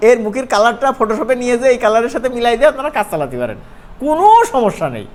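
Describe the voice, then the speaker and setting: A man with a regional Bangladeshi Bengali accent giving an informal software tutorial, conversational and often checking that his students follow. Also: very high voice, sing-song, very fast. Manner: conversational, often asks check-back questions. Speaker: animation course instructor. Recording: noisy room, clearly audible background noise.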